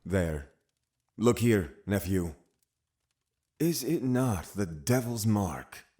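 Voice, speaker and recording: An actor playing a stern, scheming older magistrate, pious and condescending, in a period audio drama. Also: male voice, on the low side, expressive, drawn-out words, warm tone. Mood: stern accusing. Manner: formal, commanding, condescending.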